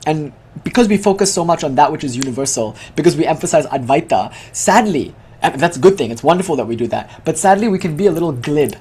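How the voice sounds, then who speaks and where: Male teacher from Malaysia, quick eager talker, slightly husky voice. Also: fairly high pitch, lively intonation, a little mellow. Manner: fast, eager explaining with frequent "like". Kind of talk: lecturing a live group.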